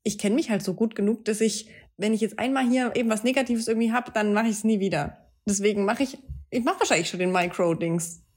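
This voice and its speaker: A woman's voice: medium pitch, fast talker, a little mellow, slightly rough. A woman with a Saxon German accent co-hosting a casual podcast chat, teasing and playful. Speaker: podcast co-host.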